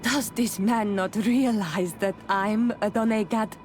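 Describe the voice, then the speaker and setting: An actress playing a proud, forthright island woman in a video game, speaking in a deliberate, direct way. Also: mid-range voice, fairly even intonation, darkish tone, faint music behind, natural voice. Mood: indignant, direct. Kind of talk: video game dialogue acting.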